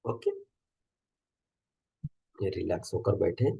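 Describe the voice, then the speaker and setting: A man with an Indian accent leading a guided meditation in a calm, unhurried, soothing way, repeating phrases with long pauses. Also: lowish voice, creaky.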